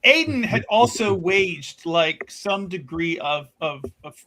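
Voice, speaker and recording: A man playing a tabletop roleplaying game, casually narrating his character's moves in a halting way with repeated words. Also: high-pitched, expressive, pushed and bright, a little hiss or hum.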